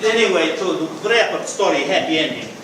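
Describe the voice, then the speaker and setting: A man's voice: fairly high pitch, animated, brisk, natural voice. A man improv player acting as an earnest immigrant who speaks broken English. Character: immigrant man proud of his adopted country. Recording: echoey hall from far off, noisy.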